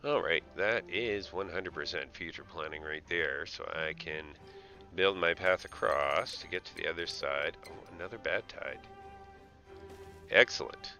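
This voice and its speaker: A man's voice: low-pitched, a little slow, bright, forceful tone, soft background music. A man giving casual live gameplay commentary, thinking aloud, muttering to himself and tossing playful asides to the characters. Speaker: streamer playing a game.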